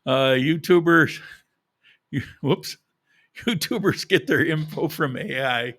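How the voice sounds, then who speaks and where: A man's voice: on the high side, takes it slowly, a bit bright. A man talking casually to his viewers, opinionated and rambling, with frequent restarts, short of breath and losing his voice. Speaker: vlogger. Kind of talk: casual chat.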